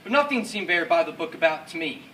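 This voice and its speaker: A man's voice: a little high, animated, quick pace, sharp tone. A man playing an American Second World War paratrooper officer, recalling battle in an earnest, declamatory stage monologue. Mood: solemn, resolute. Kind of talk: rehearsed dramatic war monologue. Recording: distant echoey room, faint noise.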